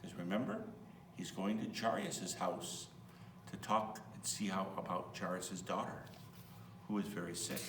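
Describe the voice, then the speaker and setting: A man's voice: sits low, fairly even intonation, a little slow. A man reading a children's Bible story aloud as a priest, expressively, with simple asides to young listeners. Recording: roomy, distant mic, faint hum.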